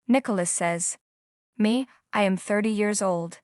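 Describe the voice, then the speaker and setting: A woman giving a calm, scripted lesson in plain English, pausing to quiz the listener. Her pitch sits mid-range and the sound is close and dry, with no room around it. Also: no room sound. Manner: slow, prompting questions to the viewer. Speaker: language teacher.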